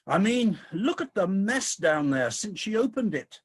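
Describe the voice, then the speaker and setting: A woman playing a grumpy, defensive, weary old male god in a comic radio play, husky and indignant. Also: mid-range voice, very animated, unhurried, a little hiss or hum. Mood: weary grumbling. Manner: indignant, grumbling.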